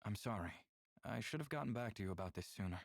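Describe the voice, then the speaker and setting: An actor playing a gentle, melancholy alien man in a visual novel, speaking in an earnest, measured, subdued way. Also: on the low side, expressive, gravelly, no room sound, studio quality. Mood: gentle, reassuring. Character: gentle, melancholy adult male alien neighbor. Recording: music under the voice.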